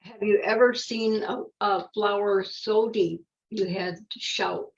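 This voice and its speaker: An older woman reading a picture book aloud, unhurried, with casual explanatory asides. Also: mid-range voice, steady tone, creaky. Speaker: children's story reader. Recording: dull, muffled lo-fi sound.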